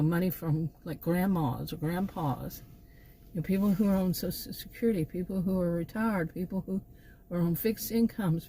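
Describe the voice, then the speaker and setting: A woman's voice: mid-range voice, mellow, poor audio. A middle-aged woman with a Texan accent, talking in a meandering opinion monologue full of asides and restarts. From Texas. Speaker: channel host.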